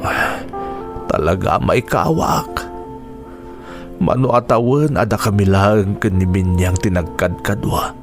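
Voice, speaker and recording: A widowed Ilocano schoolteacher, lonely but gentle. He speaks at an unhurried, reflective pace, as in a radio drama, with music underneath. His tone is resigned and soft-hearted. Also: low voice, heavily acted.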